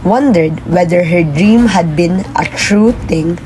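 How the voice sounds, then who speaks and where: Low, mellow woman narrator. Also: slow pace, a little hiss or hum, low-quality recording. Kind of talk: scripted puppet show narration.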